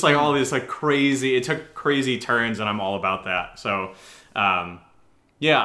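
A man's voice: on the low side, sharp tone. A man co-hosting a casual Q&A, talking in a rambling way peppered with "like" as an audio drama writer and actor. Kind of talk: casual Q&A chat.

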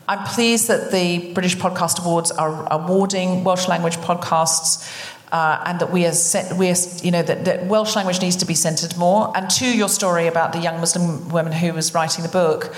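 A woman hosting a live show talks reflectively in a lowish voice, building long, thoughtful points. The sound is roomy, with a long reverb from the concert hall around her. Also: steady tone, normal speed. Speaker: podcast host. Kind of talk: live podcast conversation. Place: live stage before an audience in a concert hall.

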